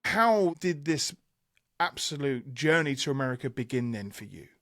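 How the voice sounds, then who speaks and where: A man's voice: mid-range voice, very animated, moderate pace, fairly bright tone, dry recording, clean studio sound. A man hosting a podcast interview in an enthusiastic, conversational style. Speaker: podcast host.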